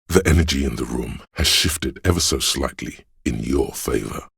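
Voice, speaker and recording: An actor voicing a suave, theatrical inner voice in a video game, narrating in a measured, wry way with a gravelly, husky tone. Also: male voice, a bass voice, fairly bright tone, no echo, clean studio sound, exaggerated voice. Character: suave, theatrical inner voice of a detective's flair and agility. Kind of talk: scripted second-person game narration.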